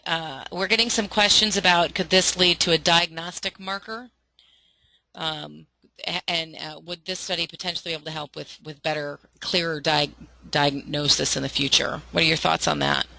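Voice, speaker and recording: A woman's voice: fairly low pitch, fairly even intonation, moderate pace, creaky, sharp tone, dry recording, poor audio. A woman moderating a webinar, earnestly relaying audience questions to a guest.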